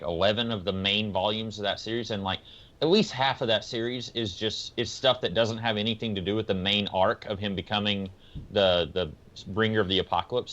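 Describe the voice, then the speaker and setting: A man's voice: lowish voice, fairly bright tone, highs cut off. A man co-hosting a podcast, explaining his thoughts in a casual, rambling, tentative way. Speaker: podcast co-host. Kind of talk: casual discussion of books and comics.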